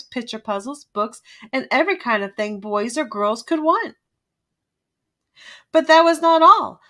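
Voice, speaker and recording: A woman reading a children's story aloud with expressive, emphatic narration. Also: fairly high pitch.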